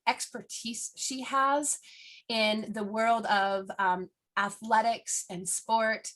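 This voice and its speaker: A woman's voice: mid-range voice, fairly bright tone. Eager woman hosting an interview. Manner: eager, many fillers and restarts. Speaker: podcast host.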